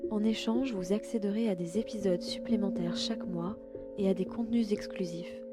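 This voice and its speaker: A woman guiding a relaxation session as a yoga nidra host, calm and soothing, giving gentle instructions. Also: mid-range voice, moderate pace. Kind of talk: guided relaxation narration. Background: relaxation music.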